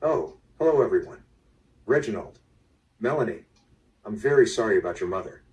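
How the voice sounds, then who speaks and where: Plain-spoken working foreman male actor, lowish register, audio drama delivery, distant speaker playback. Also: lively intonation, normal speed, a little mellow, natural voice. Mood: friendly. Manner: plain-spoken, casual, direct. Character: blunt working construction foreman, tactlessly friendly. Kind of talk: mystery audio drama acting. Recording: distant, played through a small speaker.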